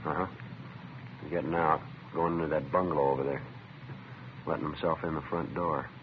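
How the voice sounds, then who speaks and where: A man's voice: a bass voice, fairly even intonation, a little fast. A man acting as a terse, level-headed police detective in a 1950s radio crime drama, with clipped, matter-of-fact, understated delivery. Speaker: lead actor and narrator. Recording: muffled, hissy vintage radio recording.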